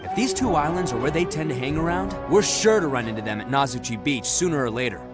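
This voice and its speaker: A man's voice: a little high, expressive, fast talker, over music, lightly acted. An actor playing a brash, cocky male oni gang leader in a video game, talking with swagger.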